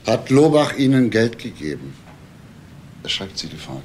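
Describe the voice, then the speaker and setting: A man's voice: lowish voice, very animated, normal speed, darkish tone, slight background noise. An actor playing a shrewd male police detective in a crime drama, probing with clipped questions. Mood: pointed. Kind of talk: German TV crime drama interrogation.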